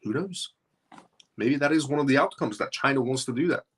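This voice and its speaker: A man's voice: medium pitch, expressive, brisk, a bit bright, slightly rough. A man originally from Iran, hosting a live show and talking through his points in a rambling way that circles back on itself. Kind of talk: casual livestream commentary.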